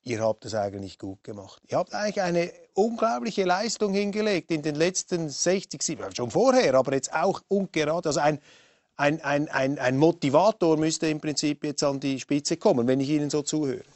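A man's voice: fairly high pitch, animated, normal speed, darkish tone, no room sound. A man hosting and moderating a political debate, asking probing, musing questions with restarts. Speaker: host and moderator.

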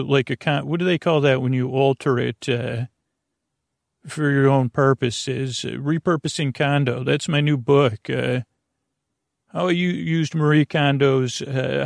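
Male bedtime podcast host, gravelly fry, dry close sound, rambling and self-interrupting delivery. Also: mid-range voice, no echo. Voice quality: creaky, gravelly. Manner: meandering, self-interrupting, trailing off mid-thought.

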